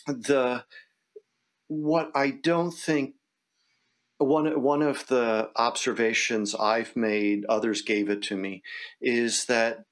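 A man speaking conversationally and reflectively, thinking aloud in a halting way as he puts questions to a guest. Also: medium pitch, fairly even intonation.

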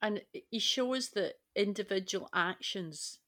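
A woman's voice: medium pitch, slow, pushed and bright. A woman speaking as a panellist in a conversational, reflective podcast discussion.

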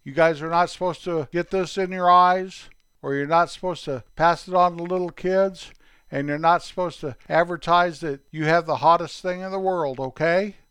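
A kindly, patient pharmacist in a spoken tale, plain and careful about the rules, as a man tells his lines. The voice sits fairly high, smooth and close without any room sound. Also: fairly even intonation, normal speed, a bit bright, no room sound, lightly acted. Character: friendly grown man pharmacist, patient and dutiful.

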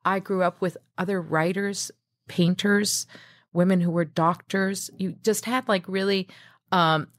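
Low-voiced woman, halting interview guest. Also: steady tone, dry recording. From Mexico. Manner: hesitant, many fillers and restarts. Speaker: guest, chef and restaurateur. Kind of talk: casual interview talk.

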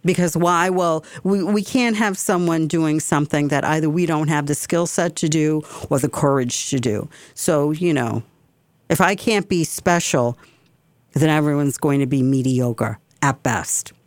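A woman's voice: low-pitched, unhurried, no echo. A woman hosting a community radio talk show, speaking with passion and repeating phrases for emphasis. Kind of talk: opinionated talk radio monologue.

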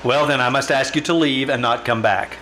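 An actor playing a firm, probing doctor in an old-time radio drama, with a measured, authoritative manner. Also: male voice, mid-range voice, heavy background noise, close to an everyday voice. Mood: stern. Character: probing, firm male doctor questioning a patient.

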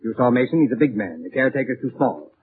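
An actor playing a sinister, coldly polite schemer in 1940s radio drama style. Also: male voice, medium pitch, expressive, fast, husky. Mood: cold, calculating. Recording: muffled, tinny 1940s radio recording.

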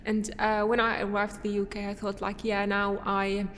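A woman's voice: mid-range voice, monotone, moderate pace, fairly bright tone, in a large hall, a little hiss or hum. A young woman from Syria, a podcast guest, answering casually with many fillers and restarts.

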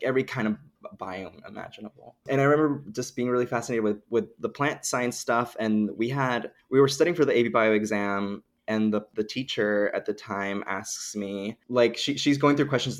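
Chatty young man with a low voice. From Dominican Republic. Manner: chatty, peppered with "like", explains eagerly. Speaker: podcast guest, plant scientist. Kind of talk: casual interview chat.

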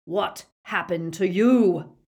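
A woman voicing a gloating, savage male werewolf villain in an audiobook, with a menacing, rasping growl. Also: on the low side, measured pace. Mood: snarling menace. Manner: menacing, snarling.

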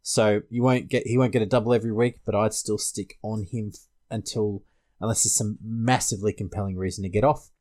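A man's voice: fairly low pitch, fairly even intonation, a little fast, a little mellow. A man with an Australian accent hosting a casual fantasy sports panel discussion. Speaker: podcast host.

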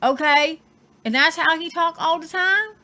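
A woman co-hosting a casual news chat, talking in lively bursts and repeating herself for emphasis. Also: extremely high pitch, measured pace. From Southern Ohio. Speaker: co-host.

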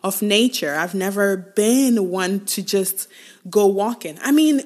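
Slow, halting woman from West Africa. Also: medium pitch, a little mellow. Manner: halting, repeats and restarts phrases, thoughtful. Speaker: podcast host. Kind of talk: reflective personal monologue.

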